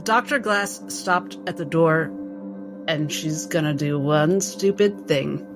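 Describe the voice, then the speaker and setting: Woman doing tabletop rpg narration, creaky voice, halting but dramatic, music under it. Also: sits low. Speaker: tabletop game player. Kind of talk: narrating her character's actions in a tabletop game.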